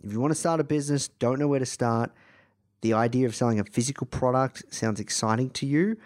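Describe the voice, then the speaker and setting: An Australian man hosts a podcast in a croaky, hoarse voice of ordinary pitch. He talks fast and upbeat, in a casual way. His sentences are full of "uh" and "you know". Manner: upbeat, fast, filler-heavy with many "uh"s. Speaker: podcast host. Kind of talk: casual podcast intro monologue.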